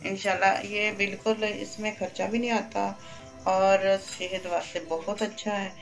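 A woman's voice: medium pitch, level tone, moderate pace, vocal fry, faint music behind. A middle-aged woman with a Pakistani Urdu accent, chatting homely through a cooking demonstration in her kitchen. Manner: homely, chatty, repeats blessings. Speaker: home cooking channel host. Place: home kitchen, indoors.

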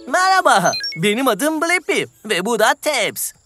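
A woman's voice: fairly high pitch, big pitch swings, a little slow, pushed and bright, exaggerated voice. A woman voicing a cheerful, curious young male explorer who exclaims with wonder in a children's cartoon dub. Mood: amazed, cheerful. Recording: music under the voice.

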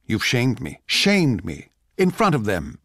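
An actor plays a cocky young male composer for a radio play, childish and crude. His pitch leaps upward and swings wildly as he boasts or pleads. The sound is close and dry. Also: huge pitch swings, unhurried, a bit bright, slightly rough, dry recording, heavily acted. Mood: outraged, arrogant. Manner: foul-mouthed, boastful.

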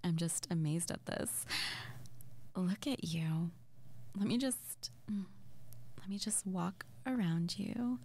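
A woman playing an excitable, playful young witch in an intimate ASMR audio roleplay, speaking softly and breathily, often near a whisper, in a halting murmur. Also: lowish voice. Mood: curious, teasing. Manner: halting, giggly, murmuring to the listener.

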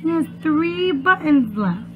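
A woman's voice: high-pitched, lively intonation, takes it slowly. A young woman speaking as a preschool teacher, reading aloud to children in a sing-song way and asking them questions. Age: young adult. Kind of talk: children's read-aloud lesson. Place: small room at home. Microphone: webcam or laptop at arm's length. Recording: muffled phone-like webcam sound, loud noise.

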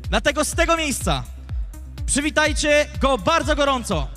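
A man working as a live ring announcer in an arena, projecting theatrically with drawn-out words to hype a fight. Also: very high-pitched, sing-song, sharp tone, faint music behind. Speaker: MMA event ring announcer. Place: fight cage in a large indoor arena. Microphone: handheld microphone.

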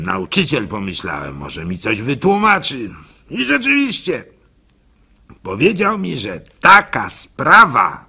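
Gullible, lovestruck baker in radio drama: gravelly, very bright and forceful, hesitant confessional storytelling. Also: male voice, mid-range voice, full character voice. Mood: bewildered, confiding.

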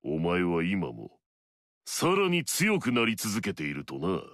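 An actor playing an arrogant, battle-hungry warrior in a video game, curt and scornful with a gravelly edge. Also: male voice, low voice, huge pitch swings, takes it slowly, a bit bright, dry recording, studio quality, heavily acted. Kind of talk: video game story dialogue.

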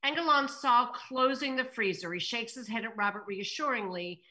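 A woman's voice: on the high side, moderate pace, bright, forceful tone. An older woman reading scripted stage directions aloud over a video call. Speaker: table-read narrator reading stage directions. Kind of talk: scripted narration read aloud. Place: room at home.

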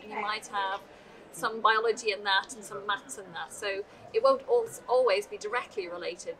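A middle-aged woman who heads student programmes, giving clear, practical advice to applicants. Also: medium pitch. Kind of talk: answering interview questions to camera. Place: large open atrium, indoors. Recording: distant voice with crowd chatter behind.

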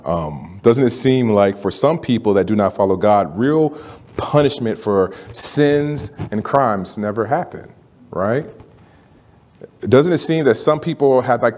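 Casting: male pastor in his thirties, easygoing pulpit preacher, mid-pitched, lively when making a point. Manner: conversational preaching, rising animated for emphasis. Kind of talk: sermon. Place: church sanctuary, standing at a pulpit. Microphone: pulpit microphone.

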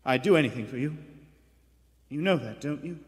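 A man's voice: medium pitch, expressive, normal speed, natural voice. A man playing an earnest, polite police detective in a live radio play, a little hesitant in his delivery. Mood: sympathetic, earnest. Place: indoor theatre stage before live audience. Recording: echoey theatre hall.

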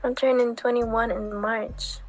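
A young woman from Germany chatting casually and answering questions in a friendly, relaxed way on a video call. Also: a little high, a little slow, music in the background. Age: young adult. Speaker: stranger on video chat.

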